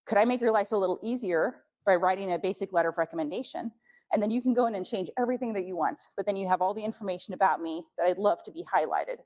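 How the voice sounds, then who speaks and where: A woman's voice: medium pitch, fast talker, a little mellow. A young woman whose first language is German, giving casual, frank advice at a scholarship workshop with plenty of fillers. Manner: chatty, frank, many fillers.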